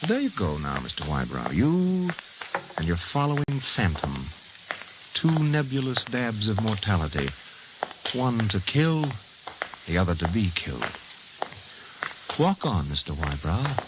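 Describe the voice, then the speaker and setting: A man's voice: fairly low pitch, huge pitch swings, measured pace, pauses often, noisy background. An actor playing a menacing, taunting narrator in an old radio thriller, speaking theatrically and insistently straight to his victim. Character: menacing, taunting male narrator stalking a doomed man with words. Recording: old radio drama with music behind.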